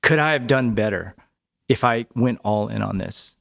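A man talking as a podcast guest in a relaxed, chatty way, often tagging his points with a quick question. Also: fairly low pitch, gravelly. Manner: relaxed, chatty, tags sentences with "right?". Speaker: podcast guest, business owner. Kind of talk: casual conversational interview.

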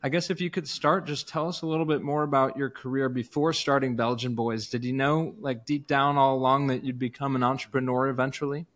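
A man's voice: medium pitch, steady tone, a little fast, few pauses, a bit bright. A man co-hosting a podcast, introducing and interviewing guests in an upbeat, enthusiastic way.

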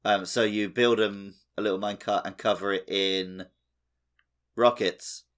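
A man's voice: lowish voice, fairly even intonation, a little slow, a bit bright. A man co-hosting a podcast, talking through a game in a casual, conversational way. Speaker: podcast co-host. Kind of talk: casual gaming discussion.

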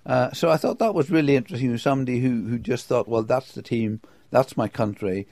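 A man's voice: medium pitch, brisk, a little mellow, dry recording. A man from the UK giving a conversational football report, enthusiastic, with hesitations and restarts. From United Kingdom.

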